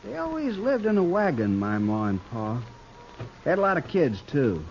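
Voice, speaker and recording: Simple-minded American cowboy henchman, high-pitched, childishly eager, stammering, old radio western, dull recording. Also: huge pitch swings, moderate pace, a little mellow, slight background noise. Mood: childlike, earnest. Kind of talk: 1950s radio western acting.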